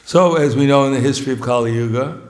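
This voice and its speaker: A man's voice: medium pitch, fast talker. A man giving an informal, joking sermon as a visiting monk, often chuckling between phrases and mocking himself. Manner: plainspoken, self-mocking. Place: temple hall. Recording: echoey hall.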